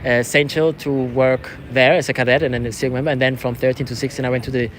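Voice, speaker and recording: A man's voice: medium pitch, level tone, quick pace. A man from Switzerland, a non-native English speaker, chatting casually and conversationally as he vlogs out on a street. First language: not English, learned English after moving to England. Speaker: vlogger, protester. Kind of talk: casual chat. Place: outdoors on a city street at a protest. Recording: outdoor street noise with traffic.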